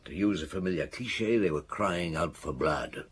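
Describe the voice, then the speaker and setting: An actor playing a seasoned, thoughtful French detective in a radio drama, speaking in a deliberate, authoritative way. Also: male voice, low-pitched, lively intonation, breathy edge. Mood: reflective, regretful.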